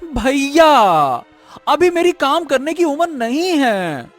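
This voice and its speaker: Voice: high-pitched, very animated, slow, soft background music, heavily acted. A performer voicing a lazy, dreamy young younger brother in a cartoon story, flippant and theatrical. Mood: sulky.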